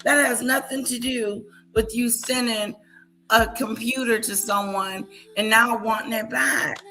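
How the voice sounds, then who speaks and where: A woman's voice: fairly high pitch, fairly even intonation, measured pace, pushed and bright, soft background music, rough recording. A woman hosting a reaction commentary, scolding and incredulous as she picks apart a clip. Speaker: commentary channel host.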